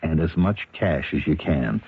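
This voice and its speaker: An actor playing a gruff, domineering male con artist with condescending, slangy tough-guy talk in a radio drama. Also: very deep voice, animated, measured pace, slight background noise, full character voice. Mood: bossy, impatient. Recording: muffled, phone-like old radio recording.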